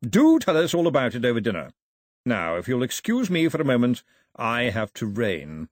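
A man's voice: medium pitch, wildly swooping pitch, moderate pace, no echo, studio quality. A man voicing a genial, plummy and pompous middle-aged king who is easily bewildered, in an audiobook story. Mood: jovial.

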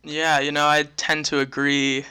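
A young man in his twenties from Venezuela, co-hosting a casual podcast in an earnest, measured way with wry asides. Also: fairly high pitch, completely flat delivery, pushed and bright, clear, resonant tone. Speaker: podcast co-host.

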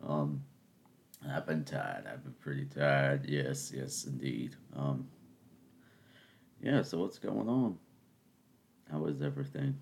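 Deep voiced guy musing on his podcast at home, sarcastic, gets all worked up. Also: slow, gravelly, long pauses. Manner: keeping voice down early on. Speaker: podcast host. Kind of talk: casual solo musing. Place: home, in the morning.

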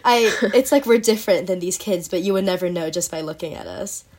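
A woman's voice: on the low side, brisk, darkish tone. A teenage girl hosting a casual podcast chat, talking in a chatty way with many fillers and frequent laughs. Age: teens. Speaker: podcast host.

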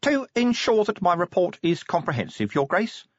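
A man's voice: high-pitched, sing-song, moderate pace, no echo, highs cut off, pitched above the performer's own voice. A man voicing a small, prim, pedantic inspector for an audiobook, formal and precise, with polite hesitant openings. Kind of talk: audiobook character acting.

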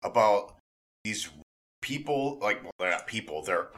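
A man co-hosting a podcast in casual banter, excitable and emphatic, often repeating himself. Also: fairly low pitch, a little slow. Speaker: podcast host.

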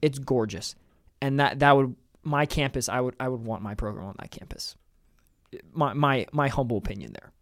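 A young man co-hosting a casual sports podcast, enthusiastic and quick to laugh, often repeating his words as he talks. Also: medium pitch, a bit bright, no echo, studio quality. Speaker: podcast co-host. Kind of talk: casual chat about college football.

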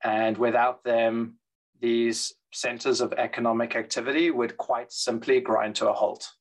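A man answering interview questions in a thoughtful, measured way, with frequent fillers and restarts. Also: mid-range voice, flat delivery, rough recording. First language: English. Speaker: guest, transit expert. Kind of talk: interview conversation.

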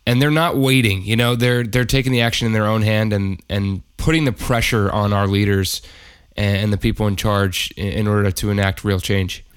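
A laid-back man co-hosting a podcast, with a lowish voice and a jokey, easygoing manner. He sometimes stumbles and restarts mid-sentence. His voice sounds dry and close, with no room around it. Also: steady tone, normal speed, smooth, no echo. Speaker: producer and co-host. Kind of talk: casual podcast chat.

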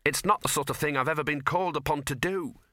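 Man putting on a fussy old wizard, raised pitch. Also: quick pace, sharp tone, dry recording, studio quality. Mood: snappish.

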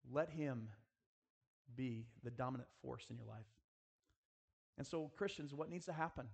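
A man's voice: mid-range voice, normal speed, creaky, slightly rough. A man preaching earnestly in a school chapel style, exhorting his listeners and addressing them directly. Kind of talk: sermon with rhetorical questions and prayer.

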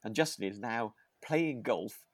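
A man co-hosting a podcast, recounting a drama in casual, halting chat. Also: fairly low pitch, animated, dry recording. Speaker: podcast co-host.

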